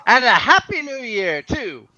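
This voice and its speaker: Jovial, kind-hearted nephew for a radio play, man acting: high, hugely animated, theatrical, slightly lo-fi recording. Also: unhurried, exaggerated voice. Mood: hearty, good-natured. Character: cheerful, good-hearted nephew of a miser, Fred. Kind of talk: dramatic play reading, radio-play style.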